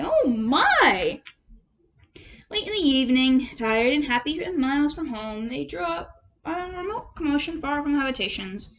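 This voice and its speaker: A woman reading a storybook aloud as an amateur narrator, in an expressive storytelling style. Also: high-pitched. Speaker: amateur audiobook reader.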